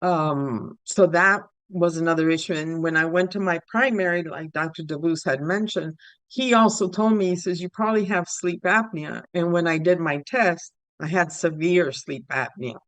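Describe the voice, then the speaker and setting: A woman's voice: lowish voice, normal speed, fairly bright tone, no echo. A talkative woman from Cuba, a guest casually retelling a personal experience with repeated words for emphasis. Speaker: stroke survivor guest.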